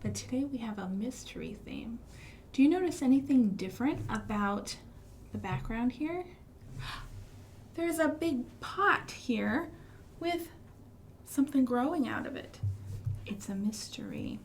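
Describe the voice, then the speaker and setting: An American woman leading a storytime for young children in an expressive, sing-song way, with gasps and hushed emphasis. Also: fairly high pitch, measured pace, pauses often, slight background noise. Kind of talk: children's storytime with singing, rhymes and asides.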